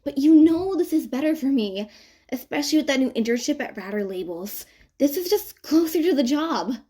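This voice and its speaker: Woman playing young college woman, upbeat, determined, reassuring, slightly high, audiobook dialogue. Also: animated, normal speed, warm tone. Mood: reassuring, fond. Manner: reassuring, chuckling, playfully defiant.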